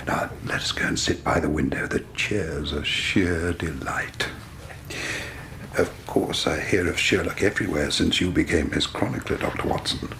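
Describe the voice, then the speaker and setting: An actor playing a genial, indolent and superior British gentleman sleuth in a period radio drama, urbane and self-assured. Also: very deep voice, normal speed, vocal fry, slight background noise, exaggerated voice.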